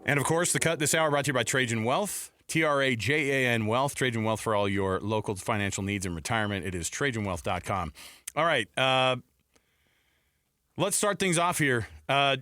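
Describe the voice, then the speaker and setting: An American man hosting conversational sports talk radio, thinking aloud with halting repeats on a close studio mic. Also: medium pitch, animated, bright, forceful tone, dry recording. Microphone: close studio broadcast mic.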